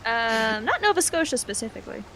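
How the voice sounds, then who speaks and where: Woman with a high voice chatting during an rpg session, slight noise behind. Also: sing-song, brisk, fairly bright tone. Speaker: tabletop game player. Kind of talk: casual table chat.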